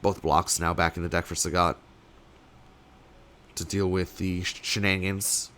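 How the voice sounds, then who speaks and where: A young man, slightly sick and losing his voice, casting a card game tournament alone in rambling play-by-play full of fillers, with sudden bursts of excitement. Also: sits low, normal speed, a little hiss or hum. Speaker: tournament caster. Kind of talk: solo play-by-play commentary.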